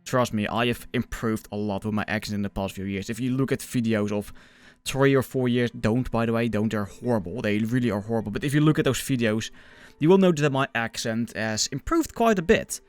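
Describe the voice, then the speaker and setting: A young man with a Dutch accent and a mid-pitched voice hosts a gaming video. He chats casually and with enthusiasm, happy to poke fun at himself. Faint music plays behind him. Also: a little fast, smooth, no room sound. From Netherlands.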